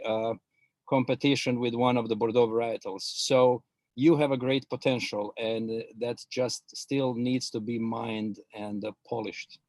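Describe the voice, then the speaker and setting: A middle-aged man with a Croatian accent tells his background in a webinar interview, often pausing on "uh" and restarting his sentences. His voice sits at an average pitch, and the video-call sound is dry, with almost no room echo. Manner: hesitant, many filler words and restarts. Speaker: guest expert.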